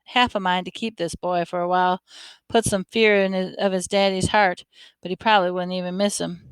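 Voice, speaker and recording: A woman's voice: lowish voice, level tone, fairly quick, clear, resonant tone, dry recording, lightly acted. Woman voicing a fussing motherly lady. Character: caring, scolding middle-aged mother.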